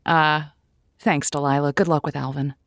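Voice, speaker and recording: An actress playing an earnest, playful radio advice host in an audio drama, with a hesitant, folksy way of talking. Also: female voice, low-pitched, level tone, normal speed, no room sound, studio quality. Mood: awkward, polite. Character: chatty, earnest, skeptical female advice radio show host.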